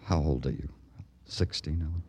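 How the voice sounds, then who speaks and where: An American actor playing a tough, brooding, terse big-city police detective in a 1950s radio crime drama. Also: male voice, extremely low pitch, normal speed, mellow, breathy edge, dry recording. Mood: grave, probing. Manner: terse, hard-boiled, slangy. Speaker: detective and narrator. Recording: noisy old radio recording.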